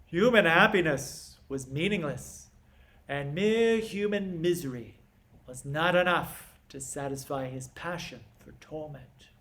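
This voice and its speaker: A man playing a proud, scornful and stern king in a classical stage monologue, with theatrical, deliberate, emphatic delivery and dramatic pauses. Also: a little high, a bit bright.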